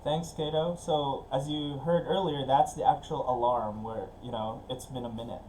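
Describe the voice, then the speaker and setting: An upbeat man hosts a casual online webinar over a video call, chatting easily and laughing between introductions. His voice sounds mellow and soft-edged, with a faint hum of background noise. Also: medium pitch, fairly even intonation, moderate pace, rough recording. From Philippines. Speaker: webinar host. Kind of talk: casual online meeting hosting.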